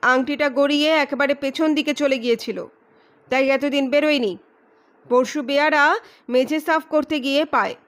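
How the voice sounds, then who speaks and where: A woman voicing a boastful, ruthless male private detective in an audio story, loud, emphatic and declamatory. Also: high voice, fairly even intonation, moderate pace. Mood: accusing, triumphant. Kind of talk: dramatized audiobook reading.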